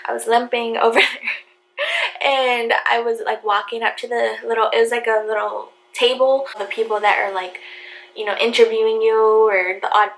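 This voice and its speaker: A quiet, soft-spoken young woman chatting to her camera about her past. Her voice sits a little high, and she talks in a loose, rambling way, stacking up fillers. She laughs lightly between phrases. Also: poor audio. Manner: filler-heavy, lots of "like" and "you know". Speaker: vlogger. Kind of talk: casual storytelling to camera.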